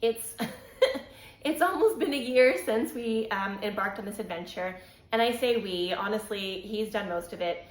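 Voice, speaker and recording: A chatty middle-aged woman with a Canadian accent hosts a homey crafts podcast. She speaks at a middling pitch, laughs easily and fills pauses with ums. Her voice carries a roomy echo from a room at home. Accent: Canadian English. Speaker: knitting podcast host. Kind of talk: casual chat.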